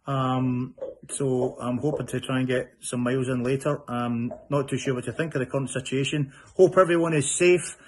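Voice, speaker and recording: A man's voice: medium pitch, fairly even intonation, normal speed, breathy edge. A middle-aged man with a Scottish accent giving a casual video update on his phone. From Scotland. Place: room at home. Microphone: phone at arm's length.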